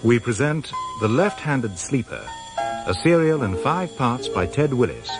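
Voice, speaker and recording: A man's voice: medium pitch, very animated, a little slow. A man with a British accent doing formal, scripted radio announcing for a drama serial. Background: music.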